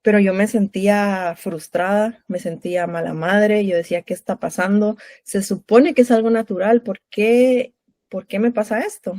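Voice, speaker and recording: A woman's voice: mid-range voice, steady tone, moderate pace, warm tone, no echo, clean studio sound. Guatemalan woman, chatty lactation consultant. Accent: Guatemalan Spanish. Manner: chatty, personal, frequent tag questions. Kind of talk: conversational interview.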